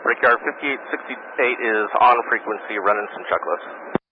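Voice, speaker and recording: A man's voice: medium pitch, normal speed. A man working as an airline pilot, making readbacks and status reports over cockpit radio. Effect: aviation radio. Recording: dull cockpit radio, faint noise.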